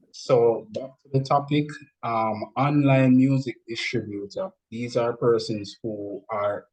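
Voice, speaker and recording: A man speaking as a music producer guest, explaining things deliberately, step by step, in an interview. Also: fairly low pitch, darkish tone, slightly rough, low-quality recording.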